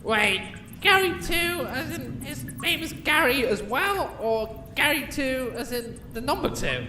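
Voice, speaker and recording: A man's voice: extremely high pitch, very animated, unhurried, full character voice. A man playing a confused, easily irritated prisoner who questions and swears in a comedic fantasy audio drama. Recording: echoey, faint background noise.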